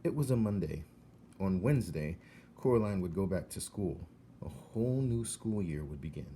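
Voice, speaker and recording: A man reading a children's novel aloud as narrator, in an expressive storytelling style. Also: low voice, moderate pace, slight background noise. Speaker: storybook reader.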